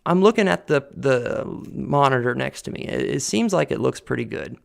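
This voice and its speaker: A man's voice: medium pitch, expressive, normal speed, vocal fry. A man talking to camera at home into a desk mic, earnest and rambling, with many fillers and restarts. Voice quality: loud voice. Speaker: vlogger. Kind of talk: casual personal talk to camera. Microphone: desk condenser mic on a boom arm.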